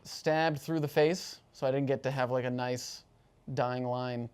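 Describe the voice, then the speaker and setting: A man's voice: medium pitch, steady tone, a little slow, darkish tone, smooth. A man chatting casually as a player after a tabletop game. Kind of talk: casual post-game chat.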